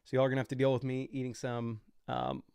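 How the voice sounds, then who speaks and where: A man's voice: medium pitch, flat delivery, quick pace, a little mellow, clean tone, dry recording, studio quality. A young man hosting a fantasy football livestream, talking loosely and casually with lots of fillers as he explains his picks.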